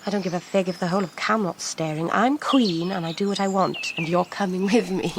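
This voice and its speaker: A woman's voice: fairly low pitch, brisk, noisy background, close to an everyday voice. An actress playing a reckless, fearless queen in a radio drama, with a commanding, clipped, defiant manner. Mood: imperious. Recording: loud outdoor birds and ambience.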